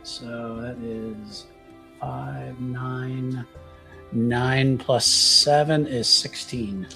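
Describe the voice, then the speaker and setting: Casual male tabletop gamer, mid-pitched. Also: fairly even intonation, extremely slow, gravelly, sharp tone, soft background music. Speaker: player in a tabletop roleplaying game. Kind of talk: casual game chat, describing his character's actions.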